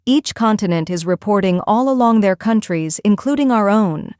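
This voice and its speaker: A woman acts out a composed government geologist in an audiobook drama. The voice is smooth, mid-pitched and dry, with a formal, explanatory delivery. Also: expressive, moderate pace, a little mellow, no echo, lightly acted. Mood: grave explanation. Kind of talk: audiobook acting, expert briefing.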